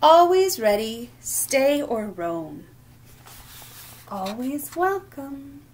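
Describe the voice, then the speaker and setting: A woman hosting a children's story time, reading a picture book aloud expressively in short, deliberate phrases. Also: sits high, sing-song, a little mellow, a little hiss or hum.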